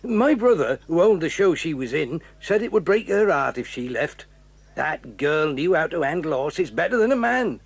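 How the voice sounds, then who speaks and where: A man's voice: fairly high pitch, sing-song, normal speed, creaky, breathy edge, full character voice. An actor playing a friendly, plain-spoken circus man with an easy-going, folksy manner in a children's drama. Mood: genial. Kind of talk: 1980s children's audio drama acting.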